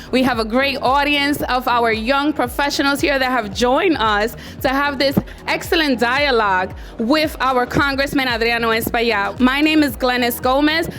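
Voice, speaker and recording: A woman's voice: high voice, moderate pace, hardly any pauses, fairly bright tone, soft background music. A woman moderating a panel at a restaurant event, giving formal, scripted introductions. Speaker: moderator of a professionals' group panel. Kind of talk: event hosting. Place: restaurant event space, indoors.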